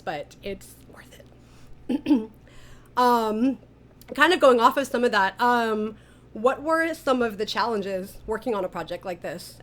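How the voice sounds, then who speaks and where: A woman hosting a casual interview in an informal, joking way, speaking as a director among her cast. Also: mid-range voice. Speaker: interview host, audio drama director.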